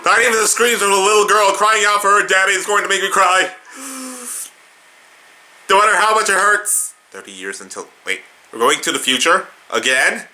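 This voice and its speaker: A woman's voice: mid-range voice, animated, normal speed, pushed and bright, rough recording. A woman hosting a reaction video, giving live commentary with dramatic, exclamatory interjections. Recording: drama music behind the voice.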